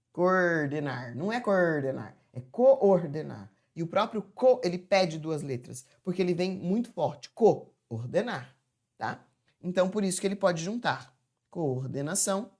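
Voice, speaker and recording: A woman teaching a chatty, informal grammar lesson from home, stretching words out slowly to demonstrate how they sound. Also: lowish voice, a little mellow. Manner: chatty, addresses viewers as "gente". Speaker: Portuguese teacher.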